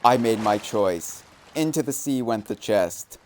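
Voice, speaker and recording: A man's voice: on the low side, lively intonation, measured pace, a little mellow, a little hiss or hum. A man co-hosting a game stream, casually chatting and reading game text aloud.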